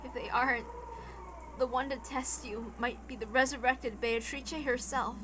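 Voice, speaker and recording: A woman's voice: a little high, steady tone, a little slow, background noise, lightly acted. A woman voicing a brave, resigned dying teenage girl in a visual novel, earnest and solemn. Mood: solemn, fading.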